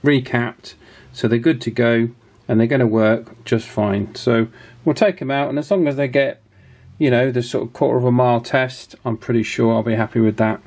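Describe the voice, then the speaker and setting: A man's voice: mid-range voice, fairly even intonation, moderate pace, darkish tone. A man hosting a casual hands-on demonstration, chatting and thinking aloud as he goes. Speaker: host of radio repair channel.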